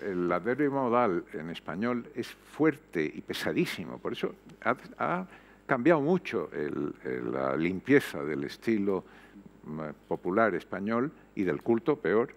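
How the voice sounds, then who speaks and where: An older man with a low voice that rises and falls widely as he presses his points. He talks reflectively on a panel, pausing and restarting, into a headset mic on a stage before an audience. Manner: reflective, emphatic, frequent hesitations. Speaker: panel guest. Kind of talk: panel discussion.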